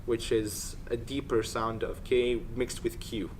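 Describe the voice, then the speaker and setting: A man's voice: medium pitch, flat delivery, a little slow, slight background noise. Man from Egypt, explaining patiently. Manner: explanatory, with many um fillers. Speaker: student. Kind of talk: interview answers.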